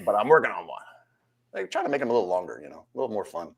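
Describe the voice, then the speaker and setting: A man's voice: on the low side, big pitch swings, racing, gravelly, husky. A man co-hosting a live stream, chatty and easygoing, telling stories from his years as a police officer. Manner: chatty, storytelling, laughs easily. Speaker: co-host, former police officer. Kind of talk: casual live-stream chat.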